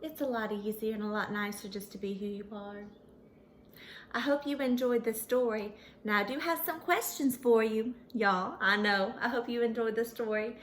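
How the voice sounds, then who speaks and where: A woman's voice: fairly high pitch, steady tone, moderate pace, slight background noise, lightly acted. A woman voicing a sweet, folksy little female pony, telling her story dramatically and earnestly to children. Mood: friendly, chatty.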